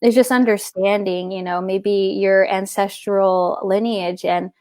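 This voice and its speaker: A woman's voice: mid-range voice, fairly even intonation, measured pace, darkish tone, no room sound, studio quality. A middle-aged woman, a guest author, talking casually and rambling, often searching for words and restarting. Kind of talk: casual spiritual conversation.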